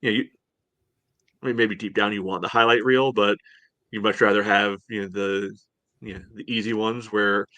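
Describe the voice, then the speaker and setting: Man, lower-pitched voice, very dry recording, casual sports talk, hedging delivery. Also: steady tone, moderate pace, pauses often, sharp tone, no room sound. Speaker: soccer podcast co-host.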